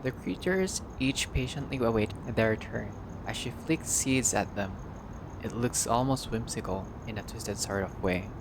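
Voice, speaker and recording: A man's voice: fairly low pitch, unhurried, background noise. A man reading a visual novel aloud as a playthrough narrator, in a storyteller-like way with brief casual asides.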